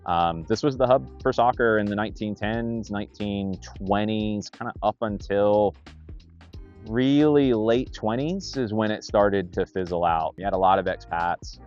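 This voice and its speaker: Low-voiced man recounting sports history. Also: unhurried, a little mellow, muffled recording, soft background music. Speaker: interviewed local soccer historian. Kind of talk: informal interview, recounting history.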